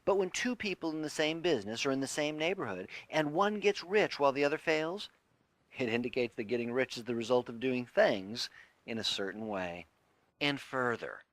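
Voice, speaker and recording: A man narrating a self-help audiobook in a deliberate, emphatic, instructive way. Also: medium pitch, fairly bright tone, no echo. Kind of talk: scripted audiobook reading of a self-help text.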